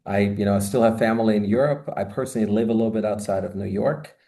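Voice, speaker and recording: A man in his fifties from Austria talks from his home office over a webcam. His voice sits low and dark, and he wanders through long answers full of asides. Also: fairly even intonation, quick pace, gravelly. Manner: expansive, rambling with many "you know" asides. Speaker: guest, marketing consultant. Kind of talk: conversational video-call interview. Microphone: video call, webcam.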